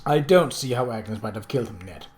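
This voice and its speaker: A composed gentleman investigator from an audiobook mystery, acted out by a male reader. He speaks in a measured, matter-of-fact way with a gently teasing streak, at a middling male pitch. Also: lively intonation, close to an everyday voice.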